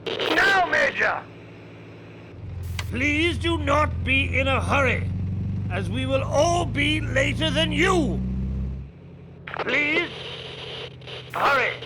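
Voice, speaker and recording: Man acting a high-voiced, insistent army general. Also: animated, heavily acted. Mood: urgent, exasperated. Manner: deliberate, insistent, military radio procedure. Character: cautious British army general, male, urgent and commanding. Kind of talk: radio play acting. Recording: noisy field-radio call with engine noise. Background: vehicle noise.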